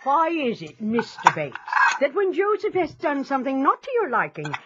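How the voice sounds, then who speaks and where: High-voiced mother, noisy recording. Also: wildly swooping pitch, moderate pace, dull audio. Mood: wry. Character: caring, wry old-time mother and wife. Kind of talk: audio drama acting.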